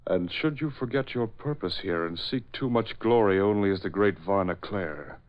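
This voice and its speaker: Actor as cold male Nazi official, bass-low voice, 1940s radio melodrama, dull sound. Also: moderate pace, natural voice. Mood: cold menace. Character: stern, menacing male Nazi spymaster, smitten yet cold. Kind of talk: 1940s radio drama acting. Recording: muffled vintage radio drama recording.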